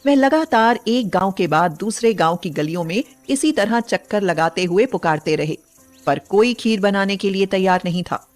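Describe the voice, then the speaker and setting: A woman's voice: medium pitch, animated, moderate pace, faint music behind. A woman narrating a scripted bedtime story as a storyteller.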